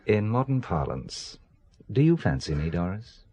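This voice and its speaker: A secretive middle-aged businessman in a radio thriller. His low voice moves with lively swings, dry and evasive, treating danger lightly. A faint noise sits behind him. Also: moderate pace. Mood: tender, earnest. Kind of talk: radio drama acting.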